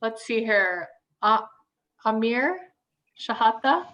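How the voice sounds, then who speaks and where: A woman's voice: fairly high pitch, lively intonation, takes it slowly, a bit bright, highs cut off. A woman hosting an orientation video call, calling on each person in turn with a friendly, upbeat manner. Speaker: orientation host.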